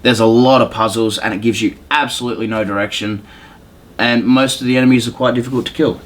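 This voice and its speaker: A man's voice: mid-range voice, fairly even intonation, quick pace, a little hiss or hum. Casual male podcast host, loose and informal. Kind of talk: casual gaming podcast chat.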